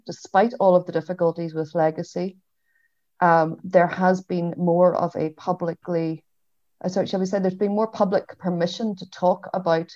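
A woman's voice: on the low side, darkish tone, no room sound. Hesitant Derry woman, dull lo-fi sound. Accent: Derry, Northern Irish. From Derry, Northern Ireland. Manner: hesitant, reflective, measured. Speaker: historian, panellist. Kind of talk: panel discussion. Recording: dull, lo-fi online audio.

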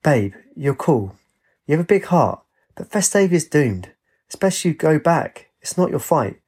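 Man voicing slangy, husky laddish raver. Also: on the high side, sing-song, measured pace, darkish tone, voice pushed up, exaggerated voice. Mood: earnest. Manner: slangy, exclaiming. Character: upbeat laddish raver with slangy banter, easily startled.

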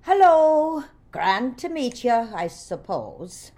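An actress playing an imperious, complaining ageing Irish singer in an audio comedy. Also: female voice, fairly high pitch, big pitch swings, slow, warm tone, exaggerated voice. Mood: disdainful. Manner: haughty, complaining, cursing. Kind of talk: comedy audio drama acting.

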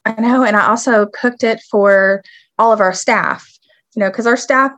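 A woman's voice: on the high side, fairly even intonation, a bit bright, no echo. Bubbly woman co-host, eager chatter. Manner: enthusiastic, bubbly, quick bursts. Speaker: podcast co-host, registered dietitian. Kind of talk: casual chat.

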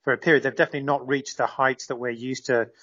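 A man's voice: mid-range voice, steady tone, fast, pushed and bright. Man reporting over a dull remote line. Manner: explanatory, with many fillers and restarts. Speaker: correspondent. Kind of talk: live radio interview.

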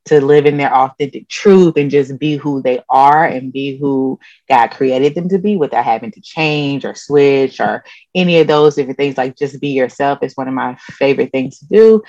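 A woman therapist with a low-register, soothing voice, answering interview questions calmly and openly. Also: moderate pace, no room sound. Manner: calm, open, laughs often. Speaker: therapist guest. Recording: dull lo-fi dry sound.

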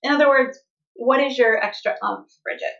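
A woman's voice: sits high, moderate pace, vocal fry. A woman co-hosting a podcast, encouraging her guest and asking long, layered questions. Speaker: podcast co-host. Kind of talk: interview hosting. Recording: dull lo-fi podcast sound.

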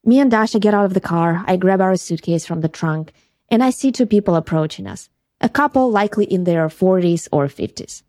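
A woman's voice: on the low side, lively intonation, a little fast, darkish tone, no echo. A woman from Ukraine with a Ukrainian accent, hosting a podcast and earnestly telling a first-person travel story. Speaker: podcast host.

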